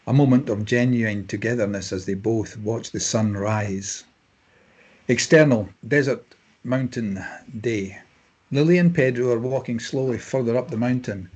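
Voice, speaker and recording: A man's voice: mid-range voice, normal speed, darkish tone, slightly rough, muffled recording. A man reading stage directions aloud as narrator in a scripted drama table read.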